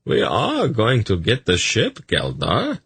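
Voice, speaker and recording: A man voicing a smug, self-congratulatory schemer in a fantasy audiobook, with an airy, drawn-out way of talking. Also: medium pitch, huge pitch swings, creaky, a bit bright, breathy edge, pitched above the performer's own voice, heavily acted. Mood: smug, assured. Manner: sighing, drawn-out, self-satisfied.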